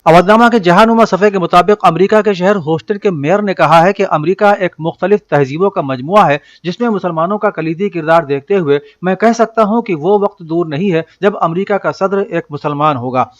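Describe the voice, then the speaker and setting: A man reading a scripted news bulletin in a formal, measured announcer's delivery. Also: sits high. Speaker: news reader.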